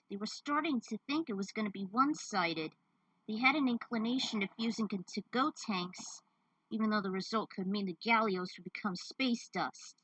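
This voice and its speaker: Bright, forceful woman reading a story. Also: mid-range voice, no room sound, poor audio. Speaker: fan fiction narrator. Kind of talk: dramatic story read-aloud.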